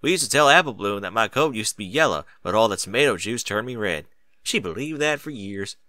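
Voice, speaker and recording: A man's voice: fairly low pitch, expressive, a little fast, bright, forceful tone, no echo, clean studio sound. A man voicing a laconic, easygoing, slightly sheepish big-brother farm stallion in a storybook reading. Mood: wry.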